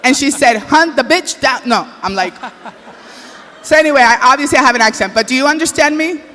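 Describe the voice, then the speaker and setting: A woman's voice: high voice, fairly quick, pushed and bright. A woman with a Spanish-influenced English accent, hosting an awards show in a bubbly, chatty way. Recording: long hall reverb with faint noise.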